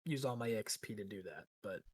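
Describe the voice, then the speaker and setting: A man's voice: on the low side, animated, brisk, breathy edge, no room sound, studio quality. A man chatting casually as a player in a tabletop roleplaying game.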